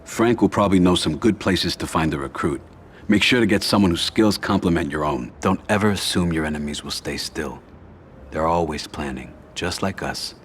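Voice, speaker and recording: An actor playing a calculating mafia don in a video game, giving counsel in a measured way. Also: male voice, low-pitched, a little hiss or hum, natural voice. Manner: measured, advising. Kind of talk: video game crime drama acting.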